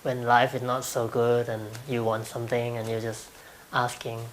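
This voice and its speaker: A man's voice: mid-range voice, flat delivery, moderate pace, a little hiss or hum, low-quality recording. Thai-accented young man, hesitant. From Thailand. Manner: hesitant, with frequent ums and light laughs. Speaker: interviewee. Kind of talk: casual interview answers.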